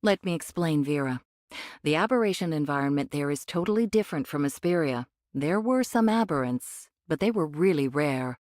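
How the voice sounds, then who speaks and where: Low, cool cyborg angel rebel. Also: female voice, lively intonation, moderate pace, darkish tone, dry recording, clean studio sound. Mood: calm, explanatory. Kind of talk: anime game voice acting, expository dialogue.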